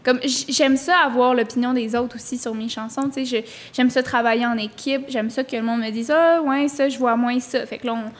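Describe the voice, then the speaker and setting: A woman's voice: on the high side, fairly even intonation, fairly quick, few pauses. A young woman, chatting casually as a singer-songwriter guest on a podcast, full of fillers. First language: French. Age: young adult. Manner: chatty, lots of fillers, laughs easily.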